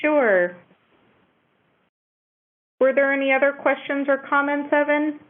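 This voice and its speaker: A woman's voice: high-pitched, measured pace. Woman moderating over a tinny phone line. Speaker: moderator of a conference call. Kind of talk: moderating a networking conference call. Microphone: telephone call.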